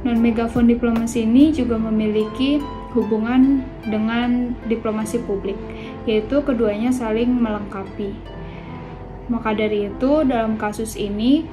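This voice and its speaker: A woman's voice: on the high side, fairly even intonation, normal speed, poor audio. A young woman reading a scripted explainer as a student presenter. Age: young adult. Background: background music.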